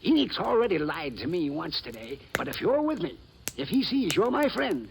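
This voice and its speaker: An actor playing a cranky, suspicious old prospector with folksy, hectoring American speech in a radio western. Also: male voice, a little high, very animated, moderate pace, gravelly. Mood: agitated, insistent. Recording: vintage radio recording with background hiss.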